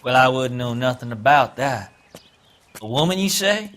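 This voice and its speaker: A man's voice: mid-range voice, expressive, moderate pace, a bit bright, slight background noise, lightly acted. A man playing a sleazy, defiant predator drifter in a detective game, with an insolent drawl. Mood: insolent, leering.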